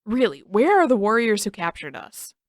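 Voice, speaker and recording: A woman's voice: mid-range voice, very animated, moderate pace, no echo, studio quality, natural voice. A woman voicing a brash, scoffing teenage boy in an audiobook story, blustering and full of disbelief. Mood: incredulous, scornful. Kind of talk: audiobook character dialogue.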